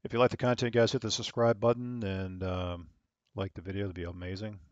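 A young man at a home desk on a headset, calmly giving controller radio calls and explaining his plans in a low-key way. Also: a little fast, no echo, muffled recording. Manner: calm, low-key, thinking aloud with fillers. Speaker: online air traffic controller streamer.

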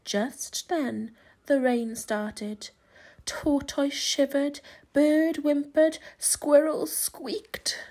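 A woman reading a children's storybook aloud in measured, dramatic, expressive narration. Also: sits high. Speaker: storybook reader.

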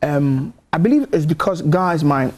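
A man in his thirties with a Ghanaian accent, a gospel musician guest talking in a TV studio interview, hesitant and soft-spoken, often repeating words as he thinks. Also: on the high side, lively intonation, normal speed. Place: bright TV studio.

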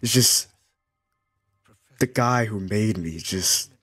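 A man improvising a comedic fan voice-over for a cartoon in a deadpan, goofy manner. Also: fairly low pitch, slow pace. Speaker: amateur fan dubber.

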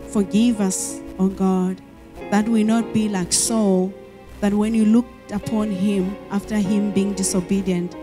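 A woman's voice: medium pitch, steady tone, a little slow, over music. A middle-aged woman preaching a sermon into a handheld mic on a church hall stage, earnest and repeating words as she searches for phrasing.

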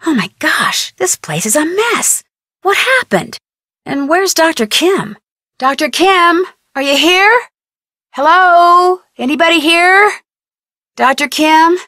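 A woman playing an inquisitive, polite and persistent young American amateur detective, speaking scripted adventure game dialogue in an earnest, expressive way. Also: extremely high pitch, slow pace, no room sound, clean studio sound, full character voice. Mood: alarmed. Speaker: video game voice actress.

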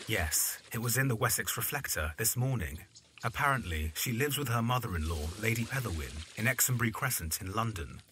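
A courteous, reserved young brother in a period audio drama, played by a man. His voice sits fairly low with a bright edge, over faint background noise. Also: animated, brisk. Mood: fond, informative. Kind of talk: period radio drama acting.

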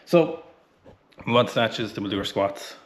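Blunt Irish man, lower voice. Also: lively intonation, unhurried. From Ireland. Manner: blunt, chatty, swears casually. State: sweaty between lifts. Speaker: weightlifting coach. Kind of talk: casual training vlog talk. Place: small indoor home gym, warm. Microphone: camera close in front.

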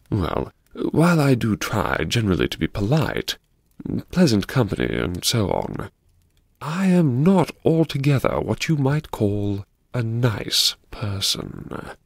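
An actor playing a genteel, troubled gentleman diarist, narrating an audiobook in a mannered, hesitant, politely formal and wryly confessional way. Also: lowish voice, gravelly, dry recording, studio quality, full character voice. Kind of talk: first-person dramatic audiobook narration.

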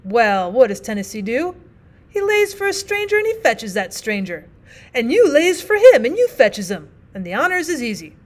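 A woman voicing a rough, earnest middle-aged frontier miner with a rustic Old West drawl, rambling in folksy dialect. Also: a little high, big pitch swings, moderate pace. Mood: earnest pleading. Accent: put-on rustic Old West drawl.

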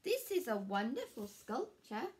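Lady doing a gushing confident circle lady from a kids storybook, high voice, takes her time. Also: sing-song, unhurried. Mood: admiring. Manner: effusive, assured. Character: confident, admiring female circle, floating and gushing.

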